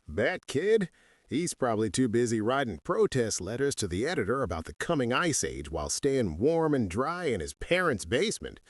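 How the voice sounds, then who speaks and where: A man's voice: mid-range voice, sing-song, moderate pace, no room sound. A man plays a cigar-chomping old curmudgeon, stubborn and blustery. His grumbling swoops dramatically up and down in a comic radio skit, recorded close and dry.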